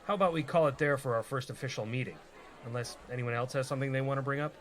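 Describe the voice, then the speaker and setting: A man's voice: mid-range voice, racing, a bit bright, some chatter behind, natural voice. Dry rogue party leader played by a man. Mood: dry, businesslike. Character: gruff, reluctant male rogue leading an adventuring party. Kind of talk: comedic fantasy radio drama acting.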